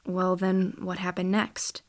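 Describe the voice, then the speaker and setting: A woman's voice: on the low side, monotone, takes it slowly, darkish tone. A woman voicing a curious, impatient young girl who eagerly questions a storyteller.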